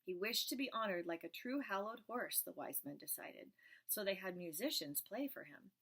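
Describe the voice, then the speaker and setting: A woman reading a picture book aloud, gently pointing out the pictures as she goes. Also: mid-range voice, lively intonation, normal speed. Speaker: storyteller.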